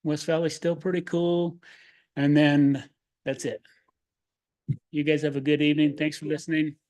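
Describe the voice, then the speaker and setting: A man's voice: on the high side, little pitch movement, moderate pace, darkish tone. An older man giving a casual, rambling public comment at a council podium, folksy and unscripted. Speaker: public commenter, local resident. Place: city council chamber.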